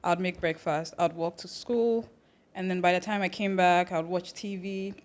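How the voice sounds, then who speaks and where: A woman from the Netherlands, a podcast guest telling about her life in a relaxed, measured way. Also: fairly low pitch. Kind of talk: casual interview chat.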